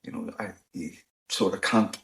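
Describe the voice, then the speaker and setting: A man with a Northern Irish accent hosts an in-person podcast chat. His voice sits low and carries a gravelly rasp. He talks at a measured pace, often restarting and filling gaps with "you know". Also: steady tone. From Northern Ireland. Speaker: podcast host. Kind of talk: casual discussion. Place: in-person recording on the Shankill, Belfast.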